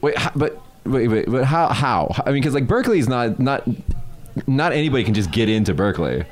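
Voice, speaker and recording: An American man hosting a casual chat, cutting in with quick, eager questions. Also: mid-range voice, sing-song. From United States. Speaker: host.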